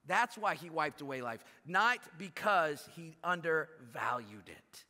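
A pastor in his thirties preaches from a big church stage, pushing his voice up and pulling it down hard to stress a point. His tone is bright and a little high for a man, and the hall answers with a long tail of reverb. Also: unhurried. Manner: animated preaching, voice rising and dropping for emphasis. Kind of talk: sermon.

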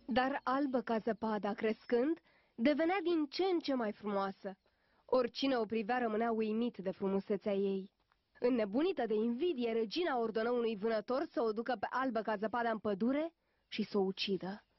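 A woman's voice: on the high side, no room sound. A woman narrator reading a scripted fairy tale for a cartoon. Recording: muffled old cartoon soundtrack, music behind.

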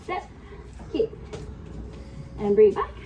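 A woman leading a kickboxing class at home, giving brisk coaching cues while sniffly from a cold, recorded on a far camera. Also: medium pitch, wildly swooping pitch, muffled recording. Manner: coaching cues, counting time, brisk commands. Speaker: fitness instructor. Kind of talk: live kickboxing class instruction. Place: home workout room. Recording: distant camera mic in a room with faint noise.

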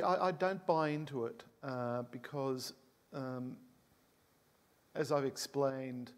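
A man's voice: mid-range voice, in a large hall. A man, a composer answering an audience question on a stage panel. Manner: animated, laughing, gestures while talking. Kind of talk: reflective panel talk. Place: concert hall stage, panel before an audience.

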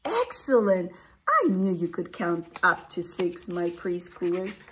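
A woman in her forties, a preschool teacher reading a picture book aloud, exclaiming and putting questions to young listeners in an animated, expressive way. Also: on the low side, unhurried, low-quality recording. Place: small indoor room with posters.

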